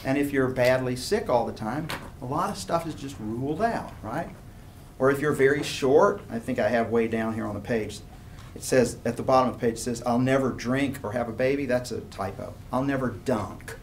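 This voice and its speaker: A man's voice: mid-range voice, moderate pace. A man in his sixties giving an informal university lecture in a conversational way, often checking in with his listeners. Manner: conversational, animated gesturing, rhetorical check-ins. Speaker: college professor lecturing. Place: university classroom, standing at lectern. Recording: classroom recording with clear background noise.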